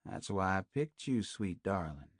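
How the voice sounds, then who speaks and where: A sinister male kidnapper speaks softly and creepily, as a narrator puts him on. His voice drops low and quiet. It is dry and close. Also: expressive, unhurried, dry recording. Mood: menacing.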